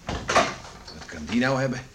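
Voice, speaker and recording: An actor playing a stubborn, gruff middle-aged father who flares up quickly, in a radio drama. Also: mid-range voice, animated. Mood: grumbling, wary. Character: stubborn, hot-tempered father of an island family. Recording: hissy old radio play recording.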